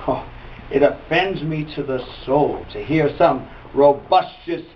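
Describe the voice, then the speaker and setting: A man's voice: fairly high pitch, unhurried, lightly acted. A man performing an intense Danish prince in a classical stage monologue, declaiming formally and emphatically to a troupe of players. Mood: earnest, instructing. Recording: distant muffled mic, background noise.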